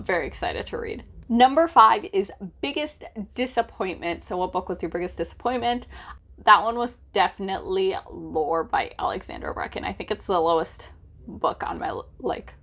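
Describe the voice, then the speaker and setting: A woman's voice: lowish voice, creaky, a bit bright. A woman talking casually as a book vlogger, informal and gushing, with lots of filler asides. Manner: informal, hesitant with frequent "like" asides, gushing emphasis. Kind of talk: casual chatty book vlog.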